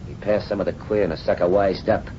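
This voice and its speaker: An actor playing a gruff, impatient gangster henchman in a vintage radio drama, barking orders in underworld slang. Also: deep voice, steady tone, fast, natural voice. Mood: tough, dismissive. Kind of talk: 1930s-40s radio drama acting. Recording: muffled, noisy vintage radio recording.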